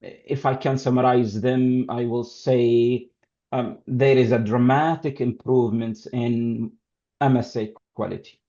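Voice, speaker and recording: A man with an Arabic accent answering as an expert panelist in a halting, deliberate manner with many pauses, over dull call audio. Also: medium pitch, steady tone, dark tone.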